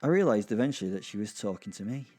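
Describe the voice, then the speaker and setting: A British man narrating a darkly comic audio drama in the first person, with wry, conversational, halting asides. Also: lowish voice, lively intonation, dark tone, faint music behind.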